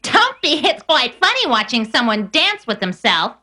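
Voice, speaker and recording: A woman's voice: high-pitched, sing-song, normal speed, pushed and bright, full character voice. A woman playing a vain, mocking young stepsister in a fairy-tale cartoon, taunting and smug. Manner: taunting, sneering. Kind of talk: animated fairy-tale dub acting.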